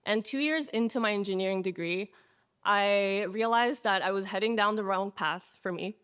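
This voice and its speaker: A woman's voice: medium pitch, normal speed, a bit bright, clear, resonant tone. A woman in her twenties, a recent graduate, giving an engaging storytelling speech. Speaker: graduate speaker. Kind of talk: prepared speech. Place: reception room at a faculty club.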